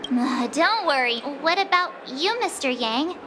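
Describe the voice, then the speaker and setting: A woman voicing a cheerful, excitable and anxious teenage girl traveller in an anime-style game. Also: high voice, measured pace, background noise. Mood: curious. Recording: music behind the voice.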